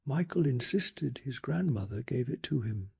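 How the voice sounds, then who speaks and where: A man softly narrating a bedtime story in a hushed, slow and deliberate ASMR style, with a breathy, gravelly voice. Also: medium pitch, expressive. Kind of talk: soft-spoken ASMR scripted story narration.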